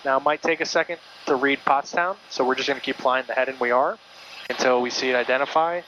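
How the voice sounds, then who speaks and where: A man instructs a student pilot in a casual, patient way, walking through each step. His voice is mid-pitched and comes through a muffled cockpit intercom with noise underneath. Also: quick pace, sharp tone. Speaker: flight instructor. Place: small aircraft cockpit in flight. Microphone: aviation headset intercom. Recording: muffled intercom audio with clear cabin noise.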